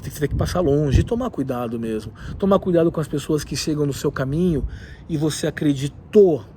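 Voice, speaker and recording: A man with a cold, talking in an animated, opinionated way with many asides in a casual vlog, recorded in a rooftop leisure area. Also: on the high side, slight background noise. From southern Brazil. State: has a cold. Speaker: video host.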